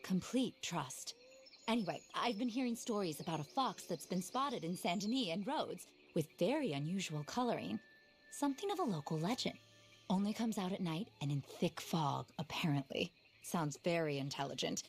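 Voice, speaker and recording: An actress playing an eccentric, passionate naturalist in a video game, with a well-spoken, theatrical, earnest delivery. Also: female voice, on the low side, animated, moderate pace, darkish tone. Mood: intrigued, confiding. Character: eccentric, passionate female frontier naturalist, indignant animal lover. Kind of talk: video game cutscene acting.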